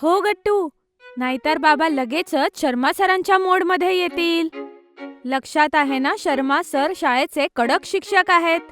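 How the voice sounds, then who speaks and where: A woman's voice: very high-pitched, a little slow, fairly bright tone, soft background music. A woman voicing an eager, obedient and slightly crafty little schoolgirl, childlike and pleading, in a children's cartoon story. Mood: conspiratorial.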